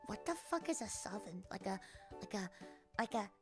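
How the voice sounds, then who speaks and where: A woman's voice: mid-range voice, animated, moderate pace, soft background music. A young woman with an Australian accent, chatting casually on a live stream and answering comments with sarcastic, swear-heavy banter and frequent laughter.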